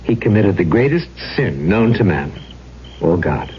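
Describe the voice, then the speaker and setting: An actor playing a courtly, mysterious, melancholy gentleman with poetic, theatrical phrasing in an old-time radio drama. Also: deep voice, very animated, measured pace. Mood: solemn, tragic. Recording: dull, noisy old radio recording.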